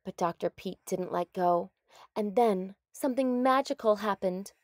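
Woman reading a storybook, slow and expressive. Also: mid-range voice, dry recording, studio quality. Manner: deliberate, expressive. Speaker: storybook narrator. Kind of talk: expressive children's read-aloud narration.

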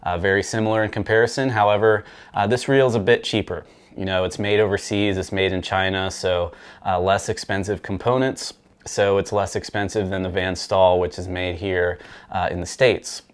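A man in his thirties talks through a fishing reel in an easy, chatty way, dotted with fillers. His voice sits a little low. He is seated in a small room, speaking to the camera. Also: moderate pace, smooth. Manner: relaxed, conversational, frequent fillers. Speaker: fishing gear reviewer. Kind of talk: casual product review to camera. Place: small indoor room with rod racks.

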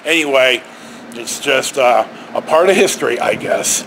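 A middle-aged American man casually telling a story to camera in a halting, rambling way, recorded outdoors on a handheld device. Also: mid-range voice, expressive, fairly bright tone, a little hiss or hum, low-quality recording. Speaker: storyteller, vlogger. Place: outdoors beside a stucco house wall. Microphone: handheld camera or phone at arm's length.

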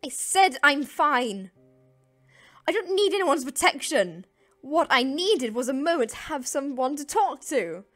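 A woman voicing a frantic childlike female alien gem in a comic dub, with pleading, stammering, shrill delivery. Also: lively intonation, a little slow, fairly bright tone, faint music behind. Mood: defiant, angry. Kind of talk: animated comic dub acting.

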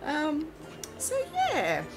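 A woman's voice: high voice, huge pitch swings, extremely slow, music in the background. A woman with a mixed, mostly Australian English accent, whose first language is from Eastern Europe, chatting casually as she plays a mobile game and reads its prompts aloud. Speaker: let's play host. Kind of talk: casual gameplay commentary.

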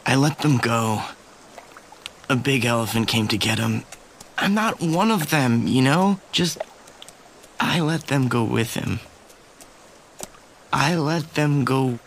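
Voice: medium pitch, animated, slow pace, long pauses, slight background noise. A performer voicing a young male lion hero who talks earnestly and pleads urgently.

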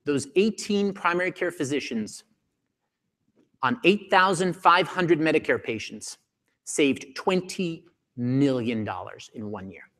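A man in his forties giving a keynote talk on a conference stage, animated and acting out the voices of doctors, on a lapel mic. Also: on the high side, measured pace, long reverb tail. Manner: animated, acts out doctors' voices, gestures a lot. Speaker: keynote speaker. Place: large conference hall stage.

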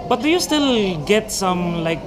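A man's voice: high-pitched, animated, measured pace, a crowd in the background. A man who vlogs, chatting casually at a café and chiming in with friendly questions. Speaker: vlogger. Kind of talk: casual interview chat. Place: busy indoor café.

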